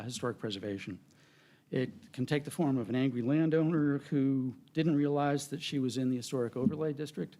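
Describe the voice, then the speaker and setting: A soft-spoken man in his fifties, a state preservation planner, giving a measured prepared presentation to a city board. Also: medium pitch. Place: council meeting room.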